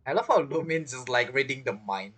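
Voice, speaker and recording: A woman's voice: extremely low pitch, expressive, brisk, breathy edge. A woman streamer giving casual reaction commentary on a game, chatty, laughing often and speaking broken English.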